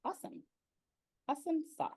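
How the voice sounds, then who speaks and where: A woman hosting a casual interview, chatting in an animated, expressive way. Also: moderate pace. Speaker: interview host. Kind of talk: casual chat.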